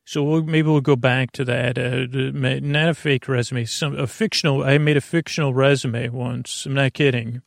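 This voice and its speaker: A man hosting a bedtime podcast in a creaky, gravelly voice, gently meandering through tangents and halting self-corrections to reassure the listener. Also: medium pitch, fairly even intonation, dry recording, clean studio sound. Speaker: podcast host. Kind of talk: rambling, unscripted bedtime podcast monologue.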